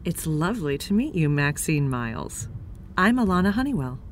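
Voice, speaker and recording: A courteous woman from New York, newly arrived, in an audio drama. Her voice sits on the low side and moves expressively, with gracious, slightly formal phrasing over faint background noise. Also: very animated, normal speed.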